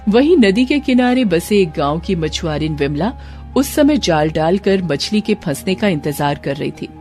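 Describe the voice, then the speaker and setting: Animated woman narrating a story. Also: fairly low pitch, moderate pace, faint music behind. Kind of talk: dramatic scripted cartoon story narration.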